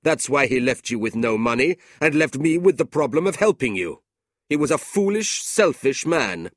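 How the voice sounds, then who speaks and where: A man's voice: mid-range voice, very animated, no room sound, studio quality, voice pushed up. A man voicing a cold, scornful older businessman uncle with a curt, sneering delivery in a storybook reading. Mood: contemptuous. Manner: curt, sneering, drawn-out emphatic words.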